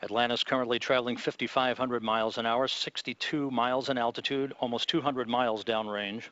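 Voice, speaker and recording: A man giving live launch commentary from mission control, in a measured, calm announcer delivery. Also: mid-range voice, dry recording, dull audio.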